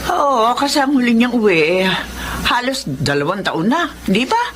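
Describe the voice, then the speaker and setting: A woman's voice: fairly high pitch, very animated, moderate pace, a bit bright. A woman playing a concerned, plain-spoken older mother in a Filipino radio drama, frank and full of questions. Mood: motherly concern. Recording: clear background ambience noise.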